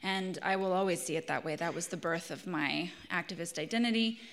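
A woman's voice: lowish voice, brisk. A woman in her thirties with a scratchy voice, reading her own essay at a live event. She speaks earnestly, with fillers and restarts. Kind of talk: live reading with spoken introduction. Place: arts center event hall.

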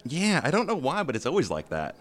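A man's voice: fairly high pitch, wildly swooping pitch, a little fast. A man playing an easygoing, opinionated young soccer fan in an audio drama, talking casually. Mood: friendly.

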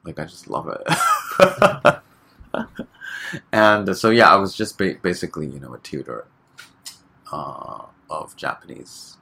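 A man from Hong Kong, a guest chatting casually in an interview, relaxed and full of fillers, laughing often. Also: deep voice, unhurried, vocal fry, pauses often, breathy edge.

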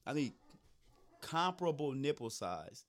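A man in casual comedic chat whose voice is going hoarse, riffing loosely and cracking profane jokes. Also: mid-range voice, very animated, a little slow. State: losing his voice.

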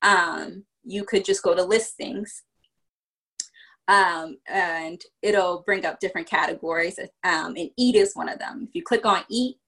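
A woman's voice: fairly low pitch, creaky, fairly bright tone, breathy edge. A woman from Virginia, a business founder chatting as a podcast guest and explaining things step by step with plenty of fillers. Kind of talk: casual podcast interview.

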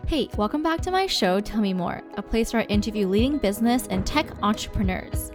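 Woman podcast host, upbeat scripted intro, mid-pitched, music plainly under her voice. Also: lively intonation, brisk. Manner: upbeat, clear prepared delivery.

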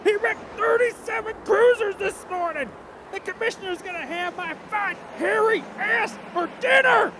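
A man's voice: very high-pitched, moderate pace, long pauses, noisy background. A man voicing a furious, swearing cartoon police chief ranting about his quarry. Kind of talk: cartoon voice acting.

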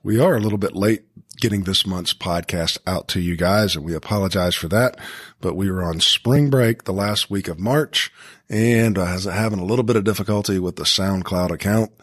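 Low-voiced man hosting a podcast. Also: moderate pace, no room sound, studio quality. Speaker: podcast host. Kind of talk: casual hosted school podcast.

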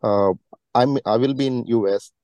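A man's voice: mid-range voice, normal speed, warm tone, dry recording. A man calling in to ask for advice, laying out his question step by step. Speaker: caller asking for advice. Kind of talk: careful question and answer on a call.

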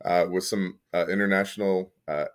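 A deep-voiced man from Kentucky, a podcast guest who leads an arts organization, talking thoughtfully with plenty of fillers and restarts. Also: fairly even intonation, moderate pace, smooth. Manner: thoughtful, many fillers and restarts, occasional laughs. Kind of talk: casual interview conversation.

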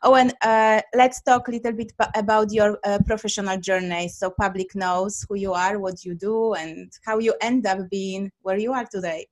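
A woman's voice: medium pitch, fairly even intonation, normal speed, hardly any pauses. A woman from Poland with a Polish accent, hosting a podcast interview, effusive and full of uh and um fillers. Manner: warm, effusive, many fillers. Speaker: podcast host.

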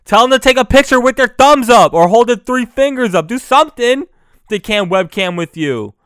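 A man's voice: extremely high pitch, lively intonation, normal speed, fairly bright tone, clean tone, dry recording, studio quality. A young man, hosting his own podcast in a loose, profane, mocking solo rant full of restarts. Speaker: podcast host.

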